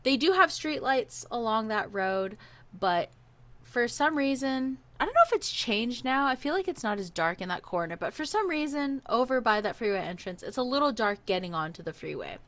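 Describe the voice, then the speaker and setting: A young woman from Hawaii co-hosting a podcast, telling a story in a lively, laughing way. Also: fairly high pitch, normal speed, dry recording. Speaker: podcast co-host. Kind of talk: casual storytelling.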